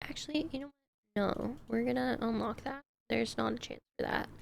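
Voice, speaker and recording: A woman's voice: fairly high pitch, a little fast, vocal fry, a little hiss or hum. A woman streamer chatting casually on a livestream, thinking aloud about game builds and laughing often.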